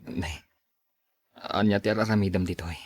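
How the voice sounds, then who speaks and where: An actor plays a kind, patient young suitor in a radio drama. His voice is on the low side and husky, recorded dry. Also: steady tone, a little fast, no echo, natural voice. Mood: pleading. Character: kind, patient young man advising the woman he loves. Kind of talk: radio drama acting in dialogue.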